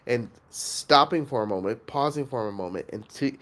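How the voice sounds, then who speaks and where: A man hosting a coaching challenge, speaking earnestly and reflectively with pauses and fillers, his voice nasal from congestion. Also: medium pitch, a bit bright. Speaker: host and facilitator of an online coaching challenge. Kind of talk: motivational coaching talk.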